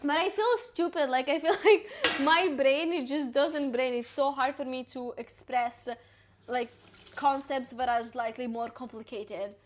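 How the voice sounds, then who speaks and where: A woman's voice: high-pitched, a little slow. A woman in her twenties from Ukraine, speaking with an Italian accent, chatting casually with live-stream viewers and reading their messages aloud while cooking. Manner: chatty, talks to viewers and reads chat aloud, lots of "like".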